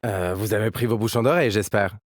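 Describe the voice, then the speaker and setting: A man's voice: fairly low pitch, fast, close to an everyday voice. A man playing an upbeat, cocky young musician fighter in a video game, with casual, playful team banter. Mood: playful, confident. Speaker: video game agent character. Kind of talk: game character voice lines.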